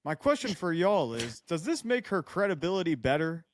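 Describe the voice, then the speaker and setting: A man with a fairly high voice co-hosts a chatty comedy podcast. He reads things aloud and keeps stepping out of the text with quips and side remarks, in a very dry, echo-free sound. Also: sing-song, moderate pace. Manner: interrupts his own reading with quips and asides. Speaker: podcast co-host. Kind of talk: casual comedic banter and reading listener letters aloud.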